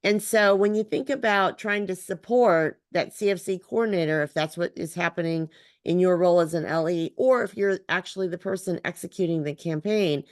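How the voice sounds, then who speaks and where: A woman leads a virtual training session, her lowish voice a little scratchy from allergies. She explains in a steady, methodical way and checks in with "right?" as she goes. The sound is dry and close. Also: normal speed, dry recording. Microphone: video call.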